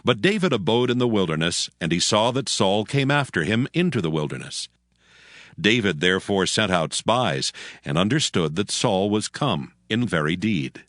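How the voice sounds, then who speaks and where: A man narrating a dramatized audio Bible in a solemn, measured storytelling style. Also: on the low side, very animated, fairly bright tone, no room sound, clean studio sound.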